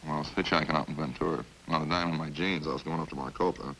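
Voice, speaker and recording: A very low, creaky-voiced killer in an old radio crime drama. He rambles casually and folksily, calm as he confesses, on a faintly hissy vintage recording. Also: very fast, heavily acted, poor audio. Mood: casual, rambling. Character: chatty, hungry male killer calmly confessing over supper.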